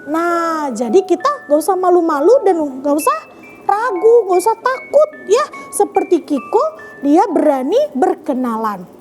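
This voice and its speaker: A woman's voice: very high-pitched, big pitch swings, unhurried. A woman storyteller addressing young children, narrating in an interactive way and checking in with her listeners. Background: music.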